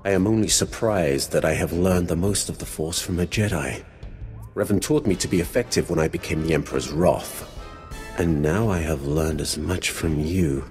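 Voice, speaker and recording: An actor playing an ancient, proud Sith lord in a video game, speaking in a formal, solemn and disdainful manner. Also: male voice, sits low, normal speed, a little mellow, faint music behind, lightly acted. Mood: reflective. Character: ancient, grim, proud Sith lord, three centuries old. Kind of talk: dramatic video game voice acting.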